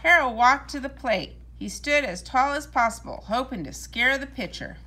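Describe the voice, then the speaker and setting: A woman reading a children's storybook aloud in a deliberate, expressive storytelling manner. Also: high-pitched.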